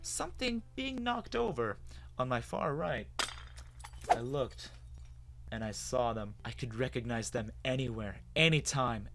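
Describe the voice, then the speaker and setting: Expressive male story narrator with lively pitch. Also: measured pace, a little hiss or hum. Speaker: narrator of a dramatic reading. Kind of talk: dramatic first-person story narration.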